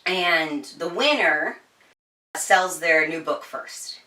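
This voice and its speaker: Female host, casual book talk. Bright, forward tone, informal and giggly, recorded a bit off-mic in a roomy space. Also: fairly low pitch, lively intonation, slow, distant mic. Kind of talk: casual chatty book review. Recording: roomy echo, slightly off-mic.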